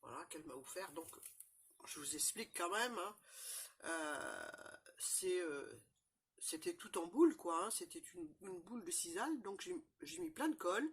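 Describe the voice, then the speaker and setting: A woman with a cold, chatting casually through a crafting demonstration, rambling and filling pauses often. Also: fairly low pitch, expressive, breathy edge. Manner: chatty, rambling, constant fillers and "voilà". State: has a cold, stuffy. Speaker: crafting channel host. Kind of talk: casual show-and-tell crafting demo.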